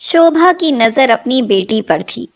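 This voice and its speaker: A woman narrates a scripted story in a high voice. Her pace is slow and measured, in the manner of a bedtime storyteller. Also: expressive.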